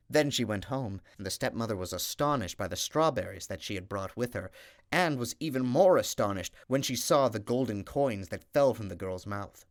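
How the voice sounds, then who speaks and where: Brief: male storyteller, steady fairy tale narration, slowing down for effect. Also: on the low side, dry recording, clean studio sound. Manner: steady storytelling, slows for emphasis.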